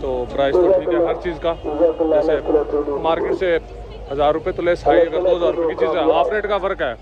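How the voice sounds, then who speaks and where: A man's voice: on the high side, fairly even intonation, brisk, phone-quality sound, over a noisy crowd. A young man casually narrating a travel vlog straight to his viewers while walking through a busy outdoor market, recorded on a camera-mounted mic. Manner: chatty, talks straight to viewers. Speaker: travel vlogger. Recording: busy outdoor street noise.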